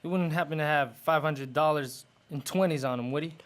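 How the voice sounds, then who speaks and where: A terse, well-off philanderer in a radio detective drama acted by a man. His somewhat high male voice is suspicious and businesslike, the delivery curt. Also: brisk, close to an everyday voice. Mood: guarded, businesslike. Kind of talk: radio noir drama acting.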